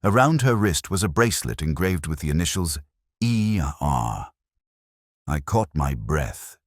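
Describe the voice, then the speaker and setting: A man's voice: very deep voice, big pitch swings, measured pace, no room sound, studio quality. A man reading a scripted first-person mystery story as its narrator. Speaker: audiobook-style narrator.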